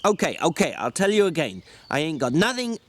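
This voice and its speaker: A man voicing a small, irritable, bossy drifter in a story reading, scolding in sharp rustic slang, exasperated but protective. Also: a little high, big pitch swings, a little fast, no room sound. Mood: weary.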